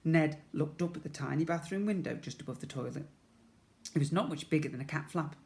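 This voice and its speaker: A man's voice: on the high side, a little fast, gravelly, darkish tone. A man reading a storybook aloud expressively to young children, as a schoolteacher would.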